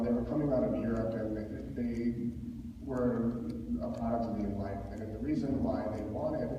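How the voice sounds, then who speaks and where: A man answering a question at a public forum, haltingly searching for his words. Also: medium pitch, mellow. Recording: very echoey hall, far mic, muffled, faint noise.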